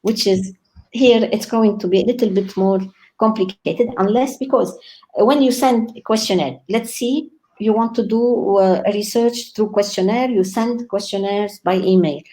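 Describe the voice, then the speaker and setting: A woman born in Lebanon, speaking as a guest scholar in an online interview, enthusiastic, often pausing with "uh" and laughing. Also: medium pitch, rough recording. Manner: warm, enthusiastic, frequent laughs and hesitations.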